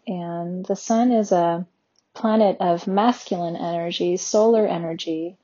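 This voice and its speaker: Slow, husky-voiced woman guiding meditation. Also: fairly low pitch, lo-fi sound. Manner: slow, soothing, gentle instructions. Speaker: meditation guide. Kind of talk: guided meditation.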